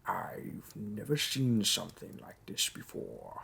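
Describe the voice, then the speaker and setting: A man's voice: fairly low pitch, lively intonation, slow pace, gravelly, a bit bright, slightly rough, in a whisper, heavily acted. A man voicing a wry, courteous demon bureaucrat conducting interviews in hell, speaking formally and dryly in a scripted roleplay audio. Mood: puzzled, resigned. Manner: dry, formal, politely officious. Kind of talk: scripted roleplay audio monologue addressed to the listener.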